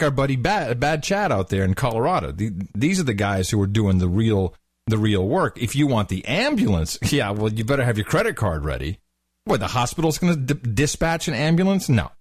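A man co-hosting a podcast, talking in casual, opinionated banter, loud and incredulous, laughing often. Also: mid-range voice, no room sound, studio quality. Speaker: podcast co-host.

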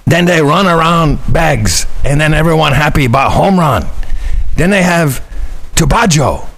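A man putting on a Chinese spy who reports to his leader in halting broken English, telling a joke. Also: on the high side, vocal fry, fairly bright tone, voice pushed up, full character voice. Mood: mock earnest.

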